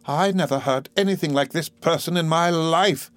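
Man doing a prim sneering spinster, sarcastic, high and slow, dry close sound. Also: dry recording, natural voice. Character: haughty, sneering spinster sister, woman.

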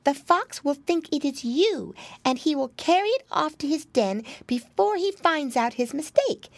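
A woman voicing a shrewd, bossy little mouse in a children's storybook, giving brisk instructions. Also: high-pitched, expressive, no echo, voice pushed up. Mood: instructive.

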